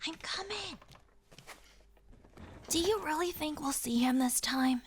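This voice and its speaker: A woman's voice: high voice, lively intonation, slow, slight background noise. A woman voicing a timid, wide-eyed little mouse child with a tentative, childlike delivery in an audio drama. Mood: timid wonder. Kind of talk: audio drama cartoon acting.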